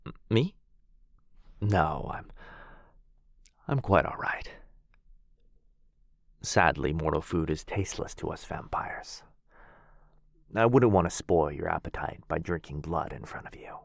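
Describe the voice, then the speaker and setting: A man playing a courteous, gentle, centuries-old vampire lord in a soft, breathy ASMR roleplay, hesitant and genteel in manner. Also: low voice, lively intonation, measured pace, long pauses, dry recording, clean studio sound, heavily acted. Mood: warm, courteous. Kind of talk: boyfriend ASMR roleplay acting.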